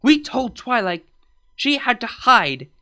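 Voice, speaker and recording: A woman's voice: a little high, sing-song, slow, sharp tone, pitched above the performer's own voice, full character voice. A woman reading a fan story aloud, voicing a stern, proud royal pony princess who talks in an insistent, lecturing way. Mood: indignant.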